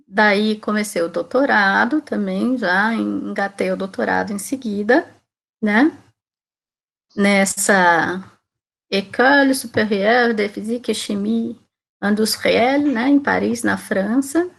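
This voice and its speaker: A woman in her forties with a Brazilian accent speaks at a middle pitch. She talks informally, like a teacher sharing her experience. Her delivery is conversational, with frequent little tag questions to check in with listeners. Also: creaky. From Salvador, Bahia, Brazil. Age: 40s. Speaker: physics teacher giving a guest talk. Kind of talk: informal online talk about own career.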